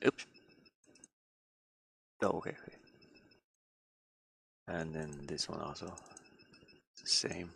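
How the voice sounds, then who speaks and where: A man chatting casually on a live stream as he works on a game, halting and muttering his thoughts aloud. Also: very deep voice, flat delivery, creaky, fairly bright tone, breathy edge. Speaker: hobby game developer streaming his work. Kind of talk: casual live-stream chat while working.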